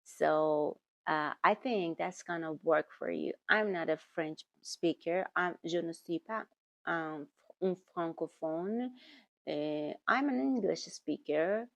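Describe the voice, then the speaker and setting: A woman speaks to her viewers in a slow, deliberate way, often repeating words and correcting herself. Her voice sits fairly low and has a gravelly texture. The recording is close and dry. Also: no room sound, highs cut off. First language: German. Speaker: language-learning YouTuber. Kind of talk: talking to camera, giving tips.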